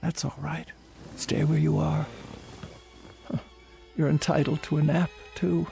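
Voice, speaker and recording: An elderly, housebound woman in a radio drama. Her voice has a noticeable creak, and she speaks in a fretful, insistent, properly formal way, anxious and easily wounded. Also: low voice, flat delivery, unhurried, warm tone, faint music behind, full character voice. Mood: weary. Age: older. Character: anxious, querulous, frightened elderly invalid woman.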